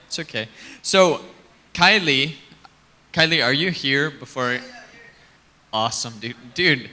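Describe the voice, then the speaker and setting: A man's voice: medium pitch, sharp tone. A man emceeing a tech conference on stage, chatting and joking with the audience as he introduces the next speaker. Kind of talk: live stage hosting, casual and joking. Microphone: handheld stage mic.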